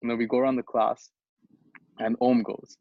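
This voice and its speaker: A man's voice: mid-range voice, level tone, normal speed, creaky, no echo. A young man from Bangladesh tells a story in loose, casual podcast talk. He stumbles and restarts often, says "like" and "you know" a lot, and swears offhandedly. The recording is dry and slightly dull. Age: young adult. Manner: rambling, many restarts and fillers, swears casually. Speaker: podcast co-host. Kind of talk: casual chat.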